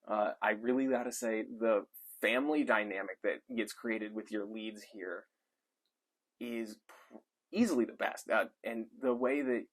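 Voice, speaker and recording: A young man giving an enthusiastic, rambling movie review off the cuff, with frequent fillers. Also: fairly low pitch. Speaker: film reviewer. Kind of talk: casual unscripted movie review.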